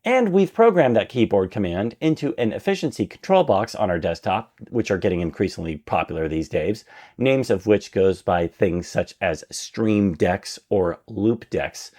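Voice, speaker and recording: A man's voice: on the low side, expressive, moderate pace, darkish tone. A man reading his own prepared essay aloud as a podcast narrator, with an emphatic, enthusiastic delivery. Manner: refers to himself as "we".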